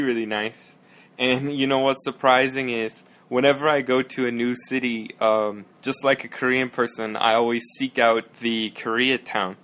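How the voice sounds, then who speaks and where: A man in casual conversation, telling stories in a relaxed, chatty way and laughing often. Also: medium pitch. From United States. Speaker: conversation partner. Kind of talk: casual chat.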